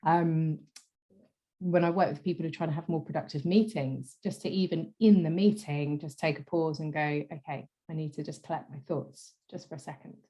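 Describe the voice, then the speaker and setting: Croaky-voiced woman coaching in an interview. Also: low-pitched, fairly even intonation, normal speed, rough recording. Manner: thoughtful, fillers and trailing soft endings. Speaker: guest, communication coach. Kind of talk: conversational interview.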